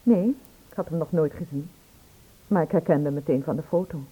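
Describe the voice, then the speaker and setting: A woman's voice: low-pitched, fairly quick, husky. An actress playing an anxious woman in a radio play, speaking in a hesitant, confiding way. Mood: shaken, worried. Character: anxious, confiding woman, shaken witness to a murder. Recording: tinny, narrow-band old radio recording.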